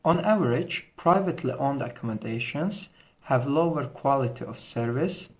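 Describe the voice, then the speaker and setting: A man's voice: medium pitch, expressive, normal speed, a little mellow. A young man with an Azerbaijani accent, giving a scripted informational presentation as a student host, with formal, careful phrasing. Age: young adult.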